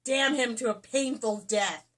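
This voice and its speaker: A woman playing a scheming, smooth, theatrically indignant older lord in a romance novel reading, recorded at a distance from the mic. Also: on the high side, unhurried, lightly acted. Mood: outraged.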